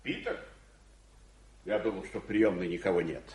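A proud blustery old-soldier uncle for an 80s radio play, super animated, pitch all over. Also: male voice, moderate pace. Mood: courteous. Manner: theatrical, swinging from indignant to courteous. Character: blustering uncle, proud old Indian-war veteran businessman. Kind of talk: 1980s Soviet radio play acting.

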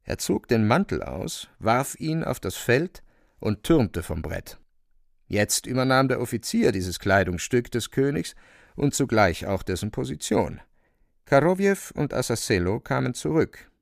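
A man narrating a literary radio play with a creaky voice. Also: mid-range voice, big pitch swings, measured pace, no echo, studio quality.

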